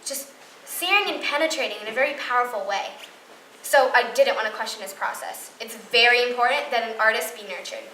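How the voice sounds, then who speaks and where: A woman's voice: on the high side, brisk, bright, forceful tone. A woman playing a hurt but devoted girlfriend confiding earnestly in a friend, in a stage monologue. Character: hurt woman earnestly excusing her genius filmmaker boyfriend. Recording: echoing room, distant mic, clear noise.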